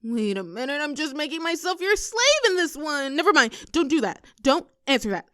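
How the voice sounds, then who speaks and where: High-voiced, teasing girlfriend, acted by a woman. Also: fairly quick, no echo, clean studio sound. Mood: playful, mock-indignant. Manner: teasing, animated, mock-indignant, cooing. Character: playful, clingy, teasing young girlfriend, affectionate and whimsical. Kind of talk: intimate girlfriend roleplay audio, scripted one-sided conversation.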